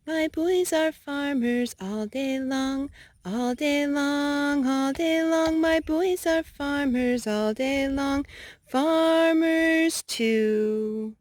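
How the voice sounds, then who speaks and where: A woman's voice: high voice, drawn-out words, a little mellow, no echo, clean studio sound, soft background music. A woman doing a storytime for young children, speaking and singing expressively as a mother does to small kids. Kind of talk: sing-song children's storytime with read-aloud and songs.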